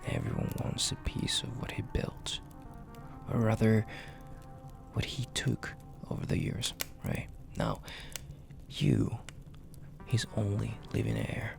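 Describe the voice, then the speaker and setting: A man playing a dominant, flirty and possessive mafia boss in an intimate audio roleplay, speaking in a gravelly, breathy half-whisper with a commanding, teasing manner. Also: lowish voice, full character voice. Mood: smug, controlled. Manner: slow, commanding, teasing, with drawn-out pauses.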